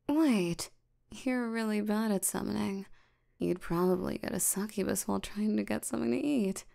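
Woman acting as an ancient sleepy demon lady, asmr roleplay, super slow n mellow, soft, deadpan. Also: mid-range voice. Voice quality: soft, creaky, often breathy near-whisper. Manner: drowsy, languid, deadpan. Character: tired, languid sloth demon woman, ancient, cuddly and deadpan.

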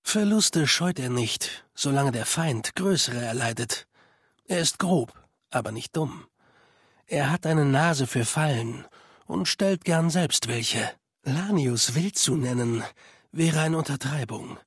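Video game spymaster: cold, measured, superior, mid-pitched with a husky edge, dry close sound. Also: male voice, expressive, no room sound. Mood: cool, assured. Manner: formal, measured, condescending. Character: cold, calculating male spymaster of a slaver legion. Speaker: game character voice actor. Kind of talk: dubbed video game dialogue.

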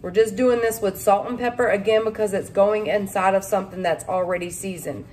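A woman's voice: mid-range voice, steady tone, brisk, darkish tone, slight background noise. A woman, talking viewers through a recipe in a casual, folksy way in her home kitchen. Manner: chatty, folksy, addresses viewers as y'all. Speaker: home cooking vlogger. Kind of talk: casual cook-along talk to camera.

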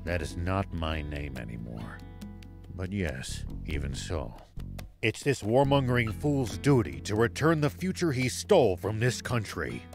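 Low-voiced, noble old ex-general. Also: male voice, sing-song, music in the background, full character voice. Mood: grave, sincere. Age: older. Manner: measured, formal, gravely earnest. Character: weary, noble old former army general, calm and resolute. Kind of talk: video game voice acting.

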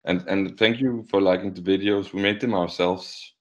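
Young male musician, Danish accent, low voice, casual interview over dull-sounding video call. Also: fairly even intonation, moderate pace. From Denmark. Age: young adult.

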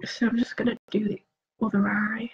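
A woman's voice: medium pitch, level tone, moderate pace, gravelly, highs cut off, a little hiss or hum. Chatty sniffly woman doing her makeup. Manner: chatty, asks viewers questions, soft murmured asides while concentrating. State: sniffly with a cold. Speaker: beauty vlogger. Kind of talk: casual chat while doing makeup.

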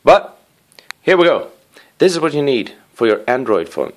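A man giving a casual hands-on video review in a living room, rambling with apologetic asides and a playful, excitable streak. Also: medium pitch, moderate pace. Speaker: amateur gadget reviewer. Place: living room with wooden floor and rug.

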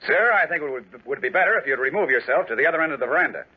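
Fast, hot-headed gentleman. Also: on the high side, expressive, slightly rough. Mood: indignant. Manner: hasty, blustering. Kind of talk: 1940s radio acting. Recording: tinny, worn 1940s radio recording.

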